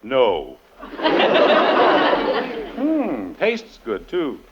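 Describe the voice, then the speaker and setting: A man's voice: fairly high pitch, huge pitch swings, very slow, a loud crowd, exaggerated voice. An American man playing a patient, teasing middle-aged father with dry mock formality in an old-time radio family comedy. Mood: teasing, amused. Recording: dull, noisy old radio recording.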